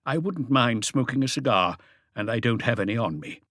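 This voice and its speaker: A man voicing an evasive, haughty wealthy husband in an audiobook, speaking in a formal, defensive, halting way. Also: mid-range voice, lively intonation, fairly bright tone, no echo, clean studio sound, natural voice. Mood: flustered.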